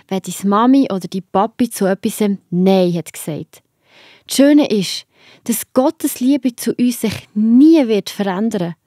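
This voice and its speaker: A woman's voice: fairly high pitch, warm tone, dry recording, studio quality. A woman with a Swiss German accent hosting and explaining a story to children in a scripted delivery. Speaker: host.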